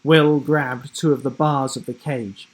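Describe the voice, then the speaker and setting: Slow-paced, dramatic male storybook narrator. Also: mid-range voice. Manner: animated, suspenseful storytelling with deliberate pauses. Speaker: children's book narrator.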